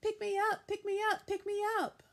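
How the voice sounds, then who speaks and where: A woman voicing an excitable, bossy little girl of about six, shouting in short breathless bursts as an audiobook character. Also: very high-pitched, normal speed, vocal fry, voice pushed up. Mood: excited, frantic.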